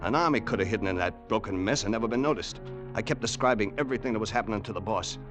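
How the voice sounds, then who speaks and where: An actor playing a loyal, excitable hired gunman with rough grammar in an old-time radio adventure. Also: on the low side, very fast, natural voice. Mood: tense narration. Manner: plain-spoken rough grammar, calls his boss "boss". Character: loyal, excitable hired gunman narrating desert treasure hunt. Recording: vintage 1950s radio broadcast with music.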